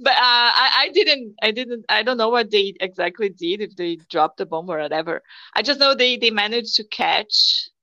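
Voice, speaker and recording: A woman from Brazil, a podcast guest chatting casually in halting phrases that restart often. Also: fairly high pitch, expressive, sharp tone, no room sound, highs cut off.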